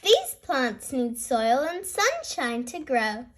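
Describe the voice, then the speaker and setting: A woman voicing a kindly female gazelle playgroup teacher from a children's storybook, gentle and instructive. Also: sits high, huge pitch swings, slow pace, exaggerated voice. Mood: encouraging, patient.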